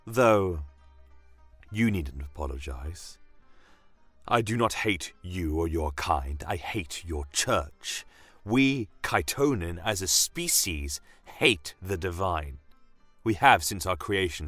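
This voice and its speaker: A man playing a solemn, defiant horned army commander, telling a dramatic fantasy story in a grave, measured manner. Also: low voice, fairly bright tone, dry recording, heavily acted. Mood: solemn, earnest. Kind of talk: dramatic first-person fantasy audio story.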